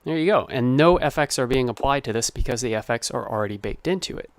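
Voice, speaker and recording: A man's voice: medium pitch, lively intonation, fast. A man giving an informal tutorial as a sound designer, explaining his process step by step. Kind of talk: informal screen-recorded tutorial narration.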